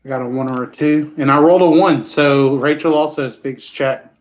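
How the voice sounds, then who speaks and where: A man's voice: fairly high pitch, fairly even intonation, normal speed. A man playing a tabletop game, hesitantly asking about the scene and describing his character's moves. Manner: hesitant, questioning. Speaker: player.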